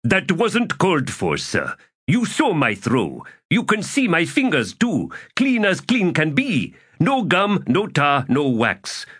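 A male narrator playing an excitable, wheedling soldier who cheats at dice and pleads with mock innocence. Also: medium pitch, takes it slowly, hardly any pauses, breathy edge, pitched above the performer's own voice, full character voice. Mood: hurt innocence.

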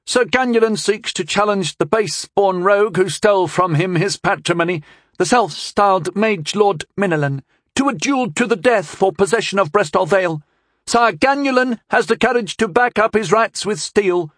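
A man's voice: high-pitched, slow, fairly bright tone, dry recording, clean studio sound, pitched above the performer's own voice, full character voice. A man voicing a bold, brash teenage herald knight in an audiobook, declaiming formally in a cracking adolescent voice. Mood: boastful proclaiming. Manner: declamatory, overbold.

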